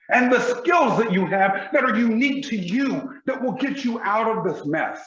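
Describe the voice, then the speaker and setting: A man, giving a motivational speech with emphatic, deliberate pauses. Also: high voice, fairly bright tone, echoey room, muffled recording. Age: 40s. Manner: emphatic, slow deliberate delivery with dramatic pauses. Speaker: motivational speaker.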